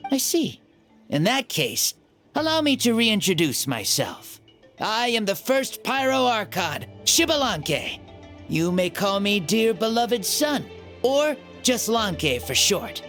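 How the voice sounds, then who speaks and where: Game role: playful old spirit in a youngster, swaggering, very lively intonation, faint score underneath. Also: medium pitch, slow, soft background music. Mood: breezy, grand. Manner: cocky, joking, theatrical. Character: playful ancient hero spirit possessing a young boy's body. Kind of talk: video game voice acting.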